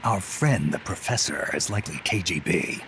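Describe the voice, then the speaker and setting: An actor playing a gruff, world-weary male mercenary leader in a video game, speaking tersely and dryly. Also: low voice, animated, moderate pace. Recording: game cutscene with clear background noise.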